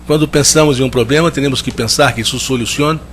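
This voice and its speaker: A man from Brazil speaking with a strong Brazilian Portuguese accent, giving a measured, reflective conference talk and often restarting as he searches for words. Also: medium pitch, a bit bright, a little hiss or hum. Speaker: guest lecturer. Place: lecture hall.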